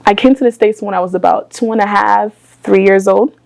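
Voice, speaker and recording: A young woman telling her life story in a relaxed interview, talking softly with frequent ums and small laughs. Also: medium pitch, brisk, gravelly. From born in Ghana, raised in the US. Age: young adult. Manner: soft-spoken, many ums and little laughs. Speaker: guest. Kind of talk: casual interview.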